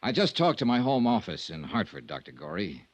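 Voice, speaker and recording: Dry-sounding, animated radio detective. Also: male voice, on the low side, fairly quick, no echo. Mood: pointed. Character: brisk, dogged male insurance investigator, wry and insistent. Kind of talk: 1950s radio detective drama acting. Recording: old radio drama recording.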